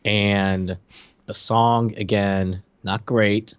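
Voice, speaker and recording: A man's voice: lowish voice, level tone, extremely slow, clear, resonant tone, highs cut off. A man in his thirties co-hosting a podcast, giving blunt but hedged opinions in casual chat. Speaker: podcast co-host. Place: indoor room, video call.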